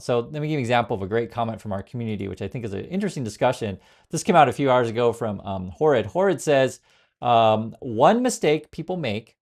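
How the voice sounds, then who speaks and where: Gravelly-voiced man talking politics. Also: medium pitch, a little mellow. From Vietnam. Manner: rambling, many fillers and restarts, reads viewer comments aloud. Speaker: political commentary host. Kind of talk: casual direct-to-camera commentary.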